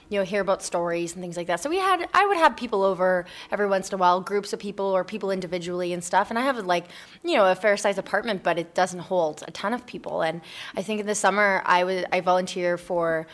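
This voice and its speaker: A young woman interview guest telling personal stories casually, in an informal, rambling way with lots of "like". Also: lowish voice. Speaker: interview guest, founder of a volunteer project.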